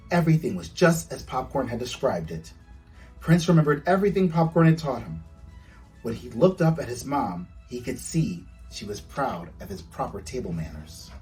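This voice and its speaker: A man reading his children's storybook aloud with expressive, storytelling delivery. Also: mid-range voice, moderate pace, recorded from a distance, faint music behind, low-quality recording. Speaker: author reading own book aloud.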